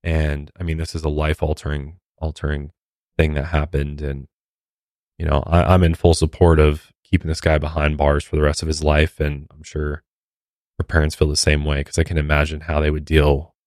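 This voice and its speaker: Very deep-voiced male podcast host. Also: completely flat delivery, moderate pace, a little mellow, dry recording, studio quality. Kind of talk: conversational true-crime podcast talk.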